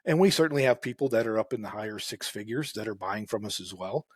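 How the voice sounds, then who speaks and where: A man who farms, talking in a relaxed way as a podcast guest, with many fillers and restarts. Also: fairly low pitch, quick pace, breathy edge, no room sound, clean studio sound. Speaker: farmer guest. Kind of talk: casual interview talk.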